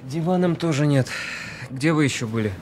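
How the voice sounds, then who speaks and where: A man's voice: on the high side, expressive, brisk, darkish tone, a little hiss or hum, close to an everyday voice. An actor playing an irritable newlywed man woken early, curt and sarcastic in a naturalistic audio drama. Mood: sardonic, curt.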